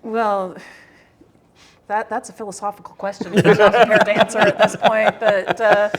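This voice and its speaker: A woman's voice: mid-range voice, little pitch movement, normal speed, over a noisy crowd. A woman from Canada, a whiskey educator, chatting casually and with a joking tone. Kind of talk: casual chat.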